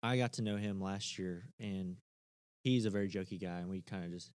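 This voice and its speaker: A man's voice: low-pitched, a little fast, darkish tone, clear, resonant tone, no room sound, clean studio sound. A man, a church member, talking earnestly in casual conversation, often stopping and restarting.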